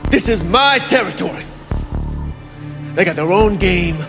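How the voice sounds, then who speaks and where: An actor playing a domineering, menacing street gang boss in a video game. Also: male voice, sits high, lively intonation, measured pace. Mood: angry, territorial. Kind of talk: video game villain acting. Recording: music clearly behind the voice.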